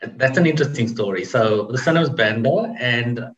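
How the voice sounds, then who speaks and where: A man in his forties, a podcast guest telling his family story in a measured, reflective way. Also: medium pitch, lively intonation, dull audio. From South Africa. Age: 40s. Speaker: interview guest. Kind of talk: casual interview chat.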